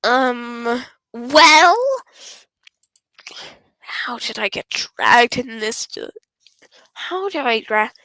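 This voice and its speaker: A woman game streamer reading a visual novel aloud, halting and giggly, with casual asides. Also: fairly high pitch, expressive, sharp tone, no room sound.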